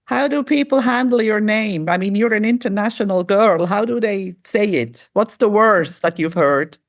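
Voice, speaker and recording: A woman's voice: mid-range voice, normal speed, no room sound, clean studio sound. A woman from Switzerland hosting a podcast interview, chatty and rambling with frequent restarts. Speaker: podcast host. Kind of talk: casual interview.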